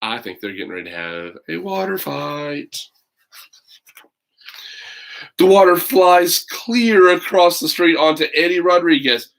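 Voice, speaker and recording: A man's voice: a little high, very animated, moderate pace, gravelly, a bit bright, rough recording. A man reading a children's picture book aloud, breaking off for casual, folksy asides to his listeners. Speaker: read-aloud storyteller.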